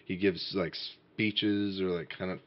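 A young man chatting casually and asking questions, halting often with fillers and restarts. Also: sits low, level tone. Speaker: interviewer. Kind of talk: casual conversation. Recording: dull, dry, muffled sound.

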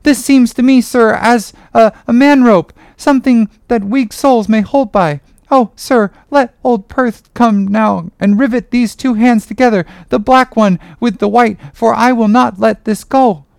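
A woman voicing a crazed, pitiful young ship's boy in a dramatic audiobook, excitable, chanting and pleading. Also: on the high side, unhurried, gravelly, few pauses, dry recording, studio quality. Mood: tender, pleading. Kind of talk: dramatic audiobook character reading.

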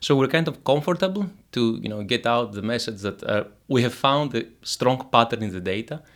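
A man's voice: mid-range voice, animated, brisk. A man from Greece, explaining his economics research in an interview, full of fillers and restarts. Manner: hesitant, many fillers and restarts. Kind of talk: academic interview.

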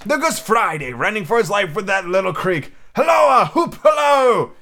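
A man performing an excitable old man, voice raised. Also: a little high, normal speed, heavily acted. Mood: ecstatic. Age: older. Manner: exclamatory, emotional, half laughing and half crying. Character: Scrooge, excitable old man overcome with wistful nostalgia.

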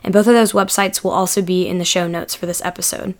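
A woman hosting a podcast, reading a calm, scripted introduction. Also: lowish voice, fast talker. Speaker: podcast host.

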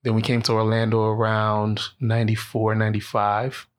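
A man's voice: lowish voice, normal speed. A man in his late thirties from the Bahamas, telling funny personal stories as a guest in a casual chat, laughing often. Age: 30s. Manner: animated storyteller, laughs often. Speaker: podcast guest. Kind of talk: casual storytelling interview.

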